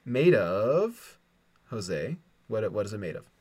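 A man co-hosting an improvised comedy podcast, cracking dry, deadpan jokes and laughing at the bits. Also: fairly low pitch, slow pace, no echo. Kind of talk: casual improvised comedy banter.

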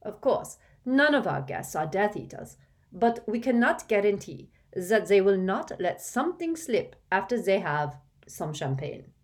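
A woman's voice: mid-range voice, very animated, unhurried, voice pushed up. A woman doing a poised, formal young bride.

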